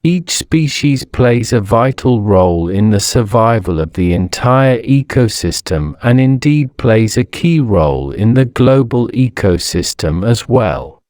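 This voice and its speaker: A man reading scripted educational narration in a measured, deliberate way, enunciating each phrase with pauses. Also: mid-range voice, animated, a little mellow, clean tone, dry recording, studio quality. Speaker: narrator of an English-learning audio story.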